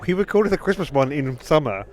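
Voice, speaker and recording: A man's voice: fairly high pitch, big pitch swings, a little fast, a little mellow. British man chatting on a podcast. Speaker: podcast host. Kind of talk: casual chat.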